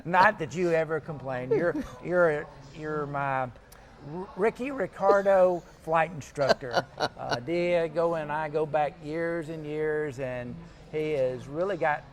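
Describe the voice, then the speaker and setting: A man's voice: fairly high pitch, slow pace, slight background noise. A man hosting a casual on-location interview in a jokey, teasing way. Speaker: TV host and aviation producer. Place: aviation museum at an air show.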